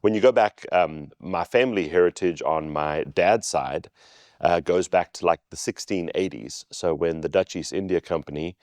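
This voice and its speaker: Middle-aged pastor, low, unhurried storyteller, dry recording. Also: male voice, no echo. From South Africa. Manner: relaxed storytelling, joking. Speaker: lead pastor. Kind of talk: casual conversation.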